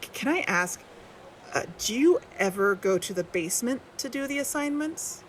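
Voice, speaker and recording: An actress playing a quiet, guarded woman who asks tentative, probing questions in a naturalistic audio drama. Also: a little high, lively intonation, normal speed, slight background noise, close to an everyday voice, poor audio. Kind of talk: naturalistic audio fiction acting.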